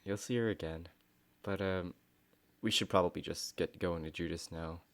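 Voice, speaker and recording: A man playing a hesitant, placating companion in an audio drama, talking in an awkward, halting way. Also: low voice. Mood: consoling.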